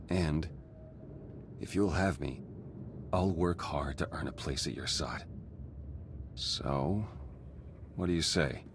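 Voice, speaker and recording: A man playing an earnest, remorseful spy in a video game cutscene, with a halting, sincere delivery. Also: a bass voice, background noise. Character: earnest, remorseful male spy, nervous and lovestruck.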